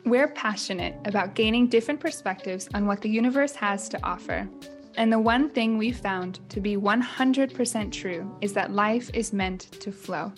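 A woman reading a scripted podcast intro in a smooth, upbeat way. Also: mid-range voice, normal speed. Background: soft music.

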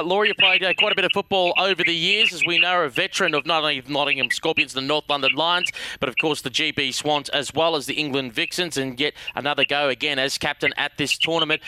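A man's voice: a little high, steady tone, fast, few pauses, pushed and bright, clear, resonant tone, no echo, low-quality recording. A man hosting a sports radio show, interviewing guests in a wordy announcer style.

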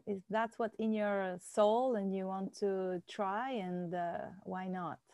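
A woman's voice: medium pitch, fairly even intonation, slow, a little mellow, clean tone, no echo, clean studio sound. A woman with an Italian accent, her first language, hosting a casual video chat and often repeating short phrases with enthusiasm.

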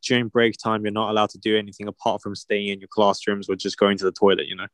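Laid-back young man, low voice. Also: monotone, fast, fairly bright tone, no room sound, studio quality. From China. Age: young adult. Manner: laid-back, teasing, laughs often. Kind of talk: casual chat.

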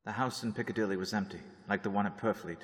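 A man narrating a radio drama in a measured, even way, with long reverb. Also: lowish voice, natural voice.

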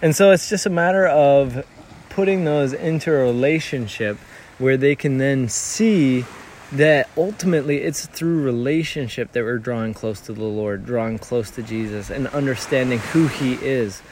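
A man's voice: medium pitch, normal speed, darkish tone, slight background noise. A man who grew up in Tanzania, talking earnestly as a missionary in an interview, telling stories with frequent restarts. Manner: earnest, storytelling, many restarts. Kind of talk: conversational interview.

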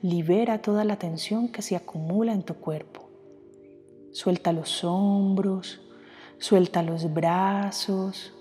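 A woman guides a meditation in a soft, mellow voice. She speaks very slowly and gently, leaving space between phrases. Her voice carries a long reverb, with music playing underneath. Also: mid-range voice. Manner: unhurried, gentle, with long pauses. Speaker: meditation guide, podcast host. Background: background music.

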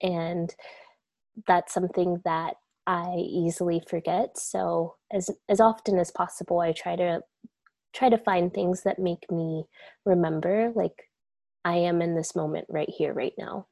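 A young woman talks in a low, gravelly, mellow voice, laid-back and full of casual fillers. She comes across as a reflective guest in an easy conversation. The sound is dry and close. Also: steady tone, a little slow, no room sound. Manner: soft-spoken, laid-back, many likes and you-knows.

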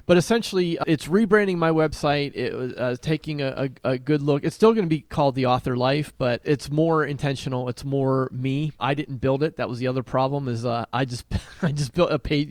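A man's voice: mid-range voice, a little mellow, dry recording, studio quality. A man co-hosting a podcast in a casual, conversational style. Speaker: podcast co-host.

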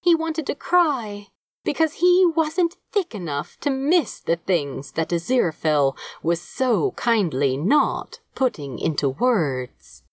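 A woman reading a story aloud as an audiobook narrator, measured and expressive in her scripted delivery. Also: medium pitch, no room sound, clean studio sound. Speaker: fan fiction audiobook narrator.

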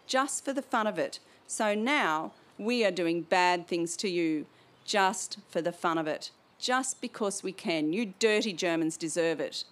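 A woman's voice: medium pitch, a bit bright. A woman reading aloud as an angry soldier, speaking in a scornful, accusing way. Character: bitter, angry Russian soldier man.

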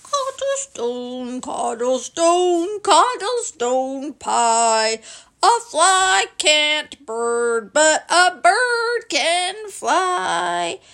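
A woman voicing a cheerful, simple-minded male teddy bear who talks in a bright, friendly way and sings slow ditties. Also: high voice, very animated, full character voice. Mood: singsong.

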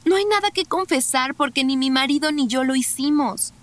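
A woman's voice: sits high, normal speed, close to an everyday voice. A woman playing a defensive, indignant married war refugee in an audio drama.

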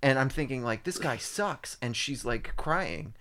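Male co-host, mid pitch, sarcastic profane plot recapper, casual podcast banter. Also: animated, a little slow, bright, forceful tone, slight background noise. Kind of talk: casual movie review chat.

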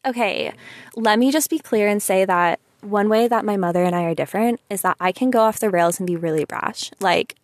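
A woman's voice: mid-range voice, fairly quick, dry recording, clean studio sound. A young woman with a sweet voice venting casually to listeners, chatty and emphatic, full of "like" and "you know". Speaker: vlogger.

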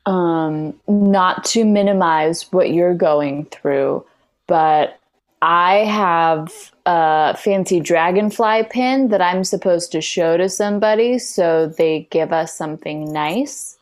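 A woman plays a tabletop game, chatting casually. Her voice sits slightly low and she talks at a slow, unhurried pace. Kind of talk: casual chat.

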